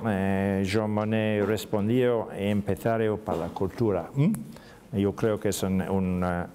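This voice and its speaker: A middle-aged British man, a native English speaker, giving a formal conference speech in a second language with a British accent and often pausing on fillers. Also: fairly low pitch, fairly even intonation, dark tone, slight background noise. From Britain.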